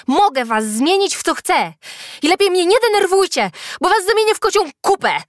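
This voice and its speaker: Storybook schoolgirl, played by a woman; hot-tempered, defiant, pitched high and emphatic. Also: very animated, normal speed, no echo, clean studio sound. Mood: furious. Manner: indignant, emphatic.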